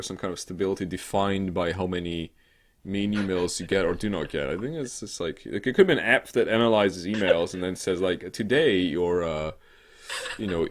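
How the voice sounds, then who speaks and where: Man, lowish pitch, casual comedy chat, halting, rambling, sweary, sarcastic. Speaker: co-host of a weekly games show.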